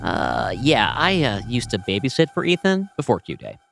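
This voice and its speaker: A man's voice: medium pitch, huge pitch swings, normal speed, gravelly, a bit bright, soft background music, heavily acted. A man voicing a wry, bossy young woman in an audio play. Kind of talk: audio play table read.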